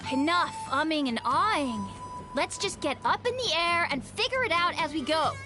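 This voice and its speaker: An eager young girl in a video game, extremely high-pitched and swooping. She is loyal but pushy, excitable and insistent, with faint music behind her. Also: moderate pace.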